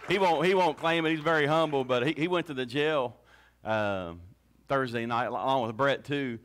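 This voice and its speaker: A man's voice: mid-range voice, normal speed, a bit bright, a faint crowd. A man, a pastor exhorting his congregation with fervent restarts, on a handheld mic in a small church. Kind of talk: church service testimony lead-in. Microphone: handheld mic, far camera.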